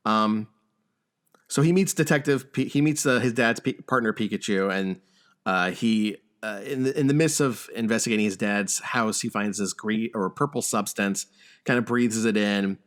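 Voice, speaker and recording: A man co-hosting a podcast in a casual discussion, with a halting, stammering delivery. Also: fairly low pitch. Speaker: podcast host.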